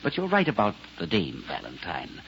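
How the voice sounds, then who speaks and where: Wordy dry-witted gangster sidekick, low voice that moves around a lot, old radio play. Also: male voice, wildly swooping pitch, normal speed, a bit bright, heavily acted. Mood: suave, dry. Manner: wordy, formal, drily ironic. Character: smooth, articulate gangster's right-hand man. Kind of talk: 1940s radio detective drama acting. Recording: muffled, faintly noisy old radio recording.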